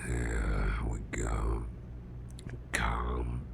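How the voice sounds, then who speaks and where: A man playing a big, anxious but caring businessman boss in an ASMR roleplay, murmuring haltingly in a breathy, gravelly near-whisper. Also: rumbling low, flat delivery, full character voice. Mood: calming, gentle. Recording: clearly noisy background hiss.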